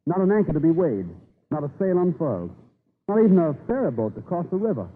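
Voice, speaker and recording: An actor playing an idealistic young colonial gentleman, a dutiful son, earnest and fervent in a 1930s radio drama. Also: on the high side, very animated, fast, phone-quality sound. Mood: earnest, troubled. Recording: thin, noisy 1930s radio recording.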